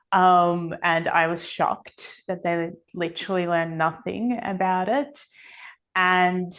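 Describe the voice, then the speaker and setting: A middle-aged woman from Australia speaking as an interview guest, explaining things earnestly and conversationally with emphasis on key words. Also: lowish voice. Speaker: interview guest, energy medicine author.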